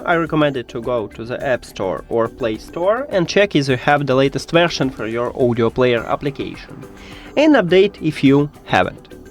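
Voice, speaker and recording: Man giving step-by-step tech instructions, lively pitch. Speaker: tech tutorial presenter. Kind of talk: step-by-step spoken tutorial.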